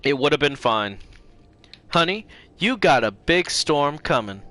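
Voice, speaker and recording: A man's voice: fairly high pitch, very animated, measured pace, bright, forceful tone. A man hosting a game livestream, switching between casual chat and dramatic reading aloud. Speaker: livestream host playing games and reading aloud.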